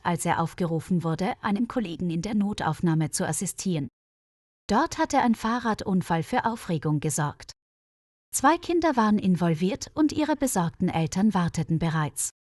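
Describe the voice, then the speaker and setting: A woman narrating a scripted story in a clear, measured way for learners. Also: a little mellow, dry recording, clean studio sound. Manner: clear, measured reading with quoted dialogue lifted higher.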